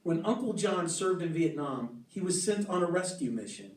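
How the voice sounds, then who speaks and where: A man reading the part of a gentle, solemn mother in a storybook, explaining earnestly and in measured phrases to her children. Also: on the high side, sing-song. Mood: earnest, sombre. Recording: roomy echo, distant mic, music behind.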